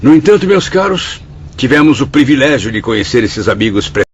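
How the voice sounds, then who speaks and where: A man's voice: mid-range voice, sing-song, brisk, breathy edge. An actor playing a humble, remorseful Portuguese male spirit in a radio play, speaking in a formal, literary, earnest way. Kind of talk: dramatic radio play acting and narration. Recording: muffled audio with music underneath.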